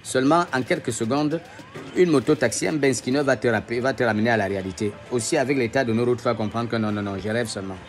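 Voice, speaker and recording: A man's voice: medium pitch, fast, soft background music. A man talking earnestly to his phone camera, making an argument. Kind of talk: opinion video commentary.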